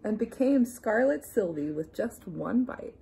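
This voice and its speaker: A young adult woman reading a picture book aloud to young children, expressive and deliberate, with playful asides. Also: medium pitch, gravelly, slightly rough, low-quality recording. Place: small room indoors.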